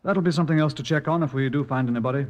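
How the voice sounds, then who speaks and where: A man's voice: fairly high pitch, rapid-fire, lightly acted. Man acting as echoey British police sergeant. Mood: observant. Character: shrewd, deferential male British police sergeant. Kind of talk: old-time radio drama acting. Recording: dull old radio recording, long reverberant hall.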